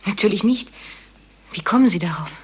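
A woman's voice: medium pitch, animated, fairly quick, breathy edge. Guarded young woman. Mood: defensive. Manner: guarded, terse. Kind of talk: 1970s German radio crime drama acting.

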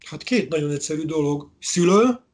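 A man in his sixties, a novelist, answering interview questions slowly and thoughtfully over a webcam video call, often pausing to search for words. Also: fairly high pitch, lively intonation, a little mellow, breathy edge. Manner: slow, musing, searching for words. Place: indoor room at home.

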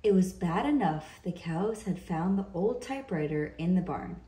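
A woman reading a children's picture book aloud in an expressive, deliberate storytelling manner. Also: fairly low pitch. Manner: slow, deliberate, animated delivery for children. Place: indoor room. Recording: roomy indoor echo.

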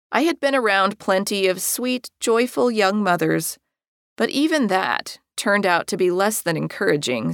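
A middle-aged American woman reading her own personal memoir as an audiobook in a measured, articulate way. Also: mid-range voice, no room sound, studio quality. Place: dry recording studio.

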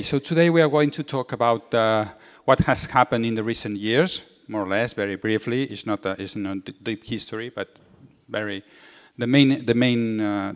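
A middle-aged man lectures in English, casual and a little halting, repeating words as he goes. His voice sits on the low side. A long hall reverb hangs behind his handheld mic. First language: Catalan. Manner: chatty, informal, repeats words while searching for English. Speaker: guest lecturer. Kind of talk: seminar lecture. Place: large university lecture hall, indoors. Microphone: handheld microphone.

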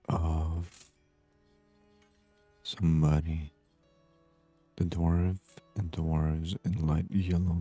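A man doing soft-spoken ASMR game commentary, murmuring and unhurried as he reads aloud. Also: deep voice, no room sound. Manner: murmured, unhurried, reading on-screen text aloud. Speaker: gaming channel host.

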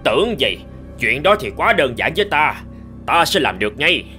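A proud, animated lion suitor. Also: male voice, mid-range voice, normal speed, faint music behind. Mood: boastful. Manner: boastful, demanding. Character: proud, lovestruck, gullible male lion suitor. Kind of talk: cartoon fable acting.

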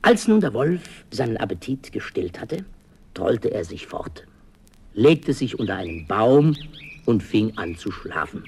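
A man narrating a fairy tale as a storyteller, expressive and theatrical, in a dramatic scripted style. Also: medium pitch, creaky, slightly rough.

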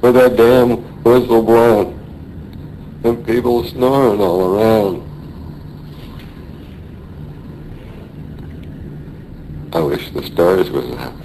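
Dying American seaman for radio play, played by a man: deep, dark-toned, weary, halting, in pain. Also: lightly acted, low-quality recording. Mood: wistful, bitter. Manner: halting, labored, brooding delivery. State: weak, in pain. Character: dying American sailor, weary, wistful, afraid. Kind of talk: radio drama acting. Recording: faint noise behind a radio play.

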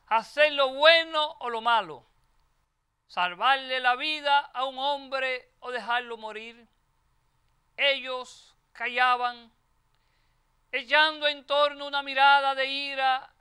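High bright older male priest preaching. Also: clear, resonant tone. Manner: measured, emphatic preaching with repeated phrases. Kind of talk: Gospel reading and homily at Mass. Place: chapel sanctuary at a lectern. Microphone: lectern microphone.

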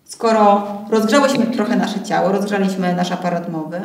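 A woman in her thirties leading a warm-up exercise in an encouraging, playful, conversational way, often checking in with short tag questions. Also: mid-range voice, steady tone, normal speed. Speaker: voice warm-up instructor. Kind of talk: guided exercise instruction, conversational. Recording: roomy echo, distant camera microphone.

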